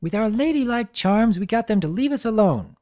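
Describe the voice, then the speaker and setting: A woman's voice: a little high, expressive, brisk, warm tone, pitched above the performer's own voice, lightly acted. A woman voicing a sweet, cheeky little filly with a bubbly child voice.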